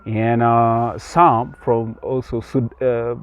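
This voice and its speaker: A man's voice: mid-range voice, flat delivery, slow, clear, resonant tone, muffled recording. A Kenyan man who speaks English with an American-sounding accent, talking earnestly in an interview and often repeating words. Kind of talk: casual interview. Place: outdoors in rural Kenya.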